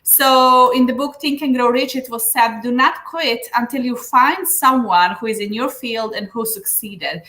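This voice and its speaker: A woman's voice: a little high, a little slow, hardly any pauses, pushed and bright. A woman from Serbia with a Serbian accent, a business coach giving earnest advice in an interview, with frequent fillers. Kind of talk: interview answers. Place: Dubai.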